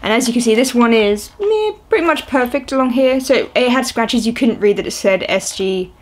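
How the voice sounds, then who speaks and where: A woman's voice: fairly high pitch, lively intonation, moderate pace. Chatty young woman rambling over an unboxing. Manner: chatty, rambling, lots of ums and uhs. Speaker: video host. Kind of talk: casual unboxing chat. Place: small indoor room at home, seated on a sofa.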